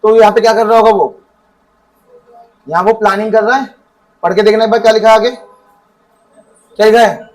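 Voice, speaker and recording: Male teacher, high voice, quizzes the class and answers himself. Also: fairly even intonation, low-quality recording. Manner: asks students questions and answers them himself; reads definitions from the book slowly. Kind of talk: classroom economics lesson.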